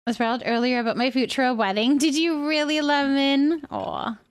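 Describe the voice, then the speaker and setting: Female live streamer, higher-pitched voice, casual and chatty delivery, reading out comments and responding off the cuff. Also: normal speed. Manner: chatty, reads comments aloud and muses on them. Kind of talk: casual livestream chat.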